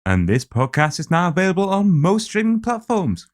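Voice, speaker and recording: A man's voice: high voice, sing-song, moderate pace. A man co-hosting a podcast with casual banter. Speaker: podcast co-host.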